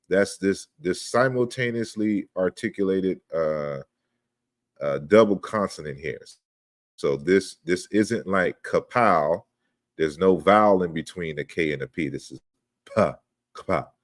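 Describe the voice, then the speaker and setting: Man, very low voice, dry close sound, patient step-by-step lecture, slide walkthrough. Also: no echo. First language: not Yoruba. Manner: explains step by step, often checks with "right?". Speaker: lecturer on language history. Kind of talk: online lecture over slides.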